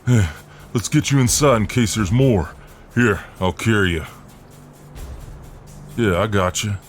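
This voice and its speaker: A man's voice: lowish voice, animated, measured pace, faint music behind. A man acting out a friendly, protective, cocky Southern US mailman in an ASMR role-play, with a folksy, soothing drawl. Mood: alarmed, urgent.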